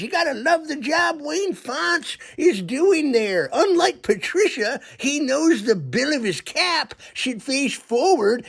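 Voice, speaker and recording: Rambling comic sports-fan caller put on by a performer, crude and punning, creaky voice, unhurried delivery. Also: high voice, full character voice. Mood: jokey, folksy. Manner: rambling, slow, pun-happy comic delivery.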